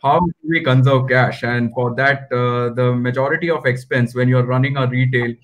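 A man's voice: medium pitch, fairly even intonation, moderate pace, a little hiss or hum. A man with an Indian accent speaking as a retail panelist on a video call, setting out points step by step. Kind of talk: explanatory business panel talk. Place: indoor room on a video call.

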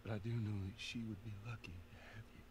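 A man doing a guarded middle-aged dad, very deep voice, halting quiet delivery, game cutscene, clear ambient noise. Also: level tone, natural voice. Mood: gentle. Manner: low, gravelly, halting and quiet. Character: weathered older father figure, gruff and guarded. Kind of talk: video game cutscene acting. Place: night porch.

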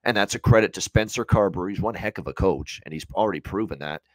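A man's voice: low voice, fast talker, no room sound. A man in his thirties giving conversational sports betting analysis from a room at home, building long, hedged, run-on points. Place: small room at home, on a video call.

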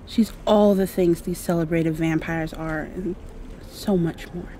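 A woman's voice: on the low side, steady tone, unhurried, slight background noise, natural voice. A woman playing a shy, insecure half-mosquito vampire girl in an ASMR roleplay, hesitant and confiding. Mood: proud, tender. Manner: hesitant, soft-spoken, confiding.

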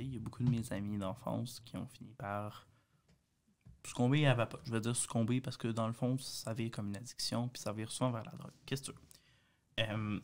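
A man's voice: mid-range voice, darkish tone. Young Quebec man in casual discussion. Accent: Quebec French. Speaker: panelist. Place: small radio studio. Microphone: studio boom microphone.

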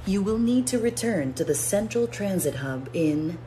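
A woman voicing a calm automated train announcement system from a game, measured, formal and impersonal. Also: mid-range voice, a little hiss or hum, natural voice. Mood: impersonal, composed. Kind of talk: scripted public-address announcement.

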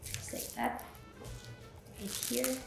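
A woman, presenting a step-by-step drink tutorial as host in an indoor kitchen. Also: slow. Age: 20s. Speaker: host of a drink recipe video. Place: indoor kitchen with bare walls. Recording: echoey room with background music. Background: music.

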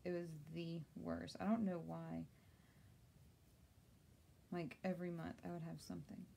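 Chatty woman with a gravelly voice. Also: on the low side, flat delivery, measured pace, mellow, slight background noise. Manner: chatty, laughs often, reads and answers viewer comments. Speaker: crafter doing a tumbler tutorial. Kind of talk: casual live-stream chat while crafting. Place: home craft office.

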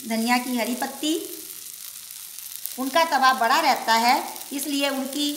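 Woman cooking show host, high bright voice, walks you thru a recipe step by step at home, echoey kitchen w sizzling pan behind. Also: unhurried, slight background noise. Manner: homely step-by-step explaining with filler "ji".

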